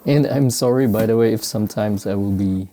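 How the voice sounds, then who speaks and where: A man from the Philippines with a Filipino accent, speaking non-native English in casual, halting unboxing commentary as he searches for words. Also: fairly low pitch, dark tone, slight background noise. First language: Filipino language. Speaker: sneaker unboxing vlogger. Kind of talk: casual unscripted product commentary.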